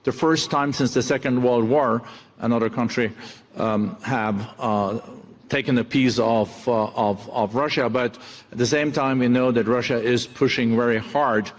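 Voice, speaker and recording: Halting Norwegian-accented man moderating in a hall. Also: mid-range voice. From Norway. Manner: hesitant, halting phrasing. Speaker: onstage moderator. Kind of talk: conversational onstage interview. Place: large conference hall stage. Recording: reverberant conference hall PA.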